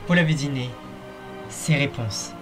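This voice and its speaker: A man's voice: mid-range voice, unhurried, music in the background. A man narrating a fan-dubbed fairy tale in a playful, wry way, speaking straight to the viewer. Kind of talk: fan-dub storytelling narration.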